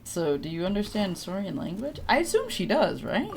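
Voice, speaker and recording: A woman's voice: medium pitch, big pitch swings, moderate pace, heavy background noise. A woman game streamer giving casual live commentary, offhand and half talking to herself. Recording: game music behind the voice.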